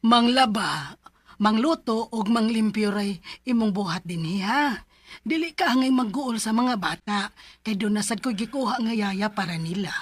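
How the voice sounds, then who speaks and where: A woman's voice: medium pitch, animated, moderate pace, lightly acted. Woman acting an agreeable but firm lady employer. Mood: businesslike, pleased. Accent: Cebuano Filipino. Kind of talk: radio drama acting.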